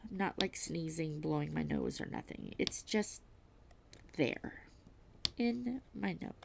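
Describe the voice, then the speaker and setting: A woman vlogging at home while diamond painting, reading aloud and chatting in a self-deprecating way, with a slightly stuffy nose and frequent soft whispers. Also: sits low, expressive, takes it slowly, creaky, background noise. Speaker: crafting vlogger.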